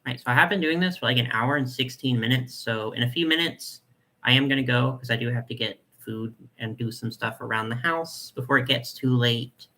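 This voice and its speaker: A young man with a nasal voice hosting a casual live chat, reading comments and talking in a chatty, soft way with lots of fillers. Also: mid-range voice, brisk. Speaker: doll collector channel host.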